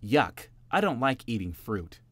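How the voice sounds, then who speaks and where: A man voicing a greedy, impulsive little boy bunny in a children's storybook, with high, childlike squeals. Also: very animated, a little slow, fairly bright tone, no room sound. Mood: sneaky. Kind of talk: storybook reading.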